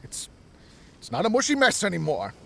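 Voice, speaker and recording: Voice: medium pitch, wildly swooping pitch, a little fast, gravelly, a bit bright, slight background noise, full character voice. An actor voicing a muddled, frantic researcher in a video game dub, whining and exclaiming in an exaggerated way. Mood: dazed, whiny.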